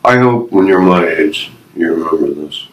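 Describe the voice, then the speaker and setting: A man's voice: deep voice, animated, normal speed, low-quality recording. A man in his fifties, a retired Navy veteran being interviewed, sharing personal stories and life advice earnestly in short, emphatic, repeated phrases. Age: 50s.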